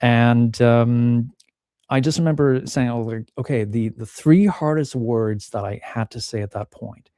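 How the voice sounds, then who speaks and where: A man's voice: mid-range voice, measured pace, warm tone, no echo, studio quality. A middle-aged man from the Czech Republic speaking as a podcast guest, thoughtful and candid, often restarting phrases and acting out remembered lines. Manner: thoughtful, many restarts, acts out remembered quotes. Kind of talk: reflective interview talk.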